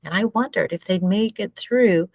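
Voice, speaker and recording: A woman reading a book aloud as expressive, measured storybook narration. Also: medium pitch, vocal fry, dry recording.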